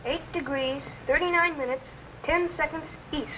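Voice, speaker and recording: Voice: sits high, steady tone, normal speed, sharp tone, a little hiss or hum, poor audio. A performer playing an eager, inquisitive young boy assistant in a 1950s science fiction serial. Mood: dutiful. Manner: wide-eyed questioning, dutiful repeating of orders.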